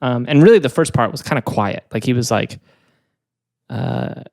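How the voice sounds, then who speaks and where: A man's voice: medium pitch, lively intonation, vocal fry, a little mellow, dry recording. Man telling a story in a dry room. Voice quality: halting, full of fillers and restarts. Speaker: podcast co-host. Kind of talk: casual storytelling chat.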